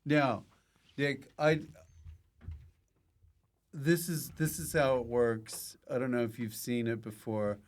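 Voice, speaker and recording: A man's voice: mid-range voice, fairly even intonation, slow, long pauses. A man hosting a casual comedy podcast, encouraging and easygoing as he chats. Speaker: podcast host. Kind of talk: casual comic chat.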